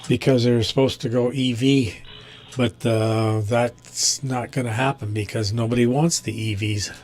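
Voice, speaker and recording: A man talking casually and bluntly over amateur radio, with a halting, opinionated delivery. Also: mid-range voice, fairly even intonation, a little mellow, slight background noise.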